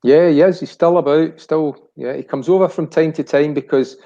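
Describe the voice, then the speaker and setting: A man's voice: fairly high pitch, steady tone, moderate pace, gravelly, mellow, dull audio. A Scottish man of about sixty reminiscing casually as a chat show guest, rambling and searching for words. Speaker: chat show guest, family business director.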